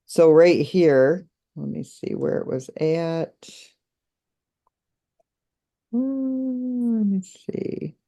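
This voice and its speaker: A woman's voice: lowish voice, drawn-out words, vocal fry, pauses often, mellow. A woman co-hosting a video call, casually walking through figures on her shared screen with fillers and short laughs. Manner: searching aloud, with fillers and small laughs. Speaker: co-host presenting project numbers.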